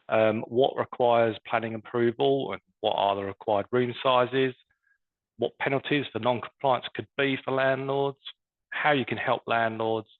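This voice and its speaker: Phone-like, narrow webinar audio carries a man with a creaky, mid-pitched voice. He introduces his presentation in an informal business manner. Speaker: business development team leader presenting. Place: online webinar. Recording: muffled phone-like online call audio.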